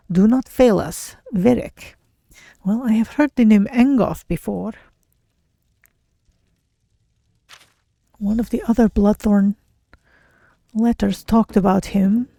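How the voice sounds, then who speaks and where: Casting: a woman as observant cat-woman adventurer for a fantasy game, warm dark timbre, medium pitch, deliberate muttered delivery. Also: creaky, dry recording. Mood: thoughtful. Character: female Khajiit cat-folk adventurer, careful and observant. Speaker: streamer voicing her game character. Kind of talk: in-character game playthrough narration.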